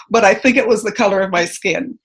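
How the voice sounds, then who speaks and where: She has a husky, breathy voice of middle pitch. A middle-aged woman from Canada with no accent speaks slowly and haltingly. She shares a personal testimony in a church service. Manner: hesitant, halting, many ums. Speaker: church member giving testimony.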